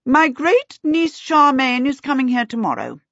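A woman's voice: high-pitched, sing-song, measured pace, a bit bright, no echo, lo-fi sound, voice pushed up, exaggerated voice. A woman voicing a bossy, rich older aunt who gives commands in an audiobook. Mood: imperious. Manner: commanding, decreeing. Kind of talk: audiobook character voice.

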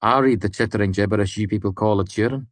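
A man's voice: lowish voice, fast talker, dry recording, pitched above the performer's own voice, close to an everyday voice. A man voicing a sour, proud young male student in an audiobook story.

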